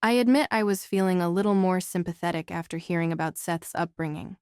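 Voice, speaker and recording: Smooth, clear woman audiobook narrator. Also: fairly low pitch, fairly quick, a little mellow, dry recording, clean studio sound. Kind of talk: scripted first-person novel narration.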